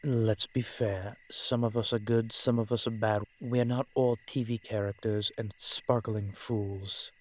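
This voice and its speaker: A man playing a brooding, protective vampire in an audio roleplay, speaking in formal, measured phrases with dramatic pauses. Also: fairly low pitch, level tone. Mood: weary, guarded. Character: brooding, protective male vampire, old-fashioned and frustrated. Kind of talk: scripted audio roleplay acting addressed to the listener.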